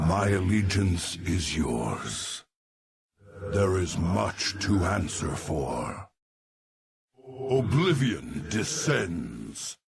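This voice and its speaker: A man voicing an ancient, remorseful alien warrior-mystic in a video game, with a gravelly, breathy rasp and solemn, archaic, portentous delivery. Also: deep voice, exaggerated voice. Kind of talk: dramatic video game voice acting. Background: background music.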